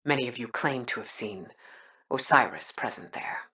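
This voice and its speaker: A woman's voice: a bass voice, animated, normal speed, sharp tone, echoey room, far from the mic, exaggerated voice. A woman voice actor playing a composed, earnest commander and mentor in a video game. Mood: solemn.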